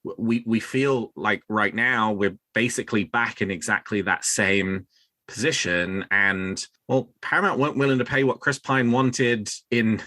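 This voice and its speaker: A man's voice: fairly low pitch, fairly even intonation, measured pace, bright, forceful tone, no room sound. A man hosting a fan podcast, discussing news in a conversational, emphatic way. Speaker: podcast host.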